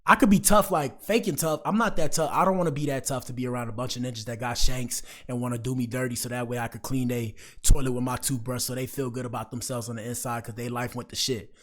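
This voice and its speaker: An American man hosts a livestream in a mid-pitched voice. He talks informally and full of slang, addressing his viewers directly as he comments. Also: fairly even intonation, fast talker, hardly any pauses. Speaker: livestream host reacting to clips.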